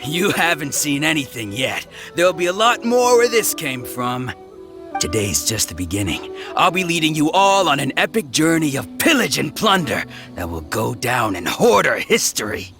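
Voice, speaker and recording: An actor playing a boastful, pompous bandit gang boss in a video game. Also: male voice, on the high side, very animated, normal speed, over music. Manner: swaggering, pompous. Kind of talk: video game cutscene dialogue.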